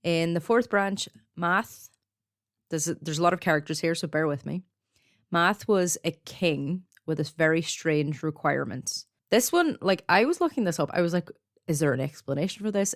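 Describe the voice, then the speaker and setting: A woman's voice: fairly low pitch, no room sound, studio quality. A woman co-hosting a podcast, telling folklore in a conversational, explanatory way with informal asides. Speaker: podcast co-host. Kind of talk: conversational storytelling about folklore.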